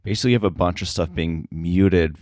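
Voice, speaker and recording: Low-voiced man, halting casual talk. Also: darkish tone, dry recording, clean studio sound. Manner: halting, filler-heavy, circling back to rephrase. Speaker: interview guest, sound effects editor.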